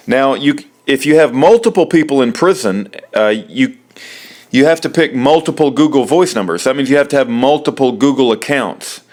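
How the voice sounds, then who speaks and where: A man talking through an informal how-to, explaining step by step in a halting way and repeating himself. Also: medium pitch. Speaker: presenter of a how-to video. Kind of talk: informal spoken tutorial, step by step.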